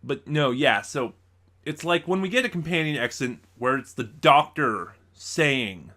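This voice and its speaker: A man giving a casual, unscripted ranking review as a video reviewer, thinking aloud in short, halting bursts. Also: medium pitch, animated, bright, forceful tone. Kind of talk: casual review monologue ranking audio drama stories.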